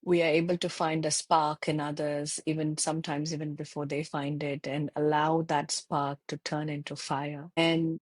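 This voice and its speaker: A middle-aged woman from India speaking as an interview guest on a video call, thoughtful and measured, pausing often with fillers. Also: deep voice, darkish tone, no echo. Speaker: interview guest, tech channel lead.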